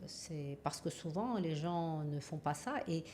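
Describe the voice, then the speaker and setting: Low-voiced woman hosting a podcast. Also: expressive, darkish tone, slight background noise. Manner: measured, hesitant with frequent fillers. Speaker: podcast host. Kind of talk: interview hosting.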